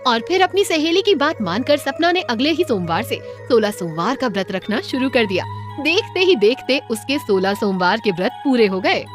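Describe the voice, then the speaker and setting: High, lively female storyteller. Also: moderate pace, faint music behind. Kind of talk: scripted narration of a cartoon moral story.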